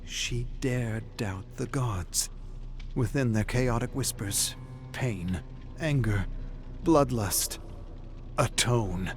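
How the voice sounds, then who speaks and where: A man delivering grave, deliberate, theatrical narration of a dark fantasy story. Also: mid-range voice, slight background noise. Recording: music behind the voice. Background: dramatic music.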